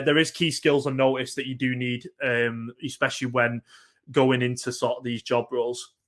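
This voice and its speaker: Mancunian man, halting workshop talk. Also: mid-range voice, fairly bright tone. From Northern England, Manchester. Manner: hesitant, lots of ums and repeated words. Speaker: workshop speaker on esports.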